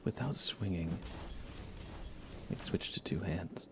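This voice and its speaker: A man giving soft-spoken, relaxed and unhurried ASMR commentary while he plays a video game live. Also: deep voice, noisy background. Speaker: livestreamer playing a video game.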